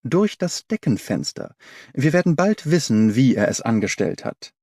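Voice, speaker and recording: Guy doing a brainy detective for an audiobook, sure of himself, lectures a bit, lively pitch. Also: normal speed, no room sound, studio quality. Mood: urgent, alert. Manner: didactic, assured explaining. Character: brilliant male detective, confident, analytical, commanding.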